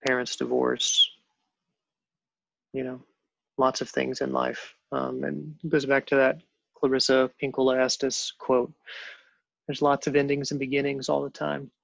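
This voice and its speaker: A man in his fifties telling his own story as a guest, halting with many pauses and fillers. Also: medium pitch, little pitch movement, vocal fry, fairly bright tone, dry recording, low-quality recording. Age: 50s. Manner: halting, many ums and restarts. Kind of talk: personal storytelling on a panel show.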